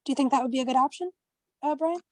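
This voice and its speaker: A woman's voice: sits high, racing, darkish tone, no echo, clean studio sound. A woman leading a casual group discussion, thinking aloud in a tentative way. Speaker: study group discussion leader.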